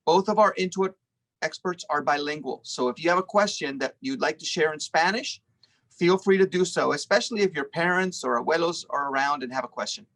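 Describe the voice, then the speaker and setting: A man's voice: fairly high pitch, brisk, fairly bright tone, poor audio. Man reading a formal webinar intro. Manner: formal, read-aloud delivery. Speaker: webinar moderator. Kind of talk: scripted introduction. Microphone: video call.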